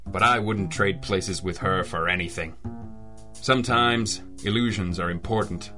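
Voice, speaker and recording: Man playing a low-voiced hard-boiled private eye. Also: a little slow, pushed and bright, soft background music. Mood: rueful narration. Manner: clipped, wry, accusing film-noir delivery. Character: hard-boiled, cynical adult male private detective, stern and relentless. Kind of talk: old-time radio detective drama acting.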